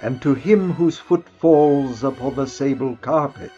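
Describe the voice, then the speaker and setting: Slow, theatrical man reading gothic horror. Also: mid-range voice, dark tone. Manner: theatrical, deliberate, with dramatic pauses. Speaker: narrator, story reader. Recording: slightly dull, lo-fi recording with music underneath. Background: music.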